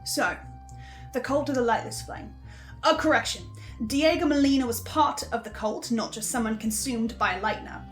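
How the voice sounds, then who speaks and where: A woman playing a hesitant, hungry archivist in an audio drama, speaking haltingly with small stammers. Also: on the high side, soft background music, close to an everyday voice. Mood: musing, chatty. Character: hesitant, hungry marked archivist recording a podcast reaction.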